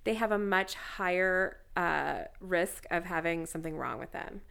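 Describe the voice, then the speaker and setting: A woman's voice: lowish voice, normal speed, creaky, a bit bright, smooth. A woman in her late thirties, talking bluntly and casually on a podcast, swearing now and then and laughing easily. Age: 30s. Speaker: podcast co-host. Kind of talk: casual chat.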